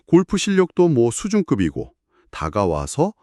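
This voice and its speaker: A man's voice: mid-range voice, wildly swooping pitch, moderate pace, darkish tone, no room sound, clean studio sound. A man retelling a listener's story on a radio-book show, acting out the quoted lines as he goes.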